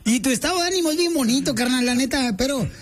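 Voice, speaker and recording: A man's voice: extremely high pitch, fast, noisy background. A man hosting a radio call-in show, teasing the caller in slang and laughing often. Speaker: radio host. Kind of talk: joking radio show banter.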